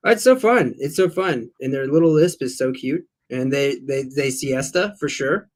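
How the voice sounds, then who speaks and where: A man in casual talk-show chat, telling stories in an animated, joking way. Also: mid-range voice, moderate pace. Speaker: show co-host.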